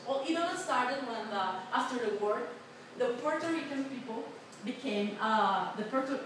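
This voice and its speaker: A woman in her twenties from Colombia, a native Spanish speaker with a Colombian accent, giving a chatty class presentation with many fillers and restarts. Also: on the high side. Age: 20s. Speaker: student presenter. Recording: echoey, noisy room from a distance.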